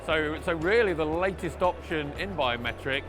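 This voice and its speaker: Higher-pitched man interviewing, music behind him. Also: expressive, normal speed. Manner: explanatory, prompts with tag questions. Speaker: interviewer, product presenter. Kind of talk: conversational promotional interview. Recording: background music under the voice.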